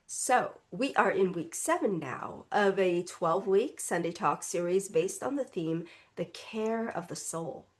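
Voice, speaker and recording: A woman reverend gives a slow, contemplative spiritual talk in a congested, stuffy voice. She speaks gently and reflectively, and often adds a soft "right?" as she explains. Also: medium pitch. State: congested, recovering from illness.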